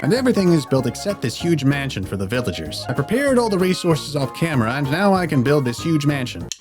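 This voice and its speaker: Lively man narrating a gaming video. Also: fairly high pitch, quick pace. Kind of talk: scripted Minecraft gameplay narration. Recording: music behind the voice. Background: background music.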